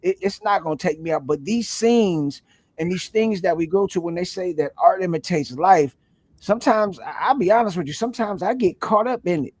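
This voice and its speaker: A middle-aged American man chatting casually as an interview guest, talking in an animated, long-winded way. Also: sits high, a little fast, no room sound. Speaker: stage play cast member, guest.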